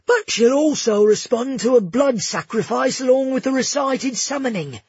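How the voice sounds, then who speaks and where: A man voicing a giddy, fawning old male tavern keeper with a husky voice, in a storybook reading. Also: very high-pitched, animated, moderate pace, darkish tone, dry recording, highs cut off, voice pushed up, full character voice. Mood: nervous. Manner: effusive, gushing, exclamatory.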